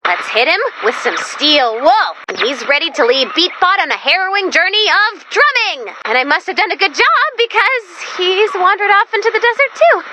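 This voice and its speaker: A woman voicing an excitable, quirky young female robot guide in a video game, chatty and expressive with dramatic, wry asides. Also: extremely high pitch, normal speed, hardly any pauses, noisy background. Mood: enthusiastic, wry. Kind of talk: animated video game voice acting.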